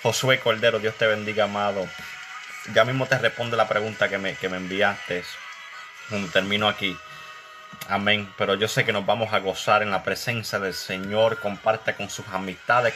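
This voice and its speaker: A man hosting a church live stream, blessing viewers by name and introducing a young preacher. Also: lowish voice. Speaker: host of an online live interview.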